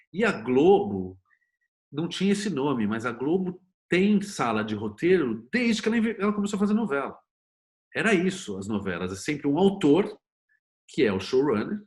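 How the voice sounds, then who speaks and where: A Brazilian man speaking as an interview guest, explaining things informally and thinking aloud in a conversational way. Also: medium pitch. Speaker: interview guest, screenwriter and series producer.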